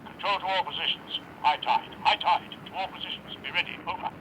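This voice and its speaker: A man's voice: fairly high pitch, a little fast. An actor playing a stern male police controller giving orders over a radio in a British radio drama. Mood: stern, commanding. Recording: crackly police radio, loud noise.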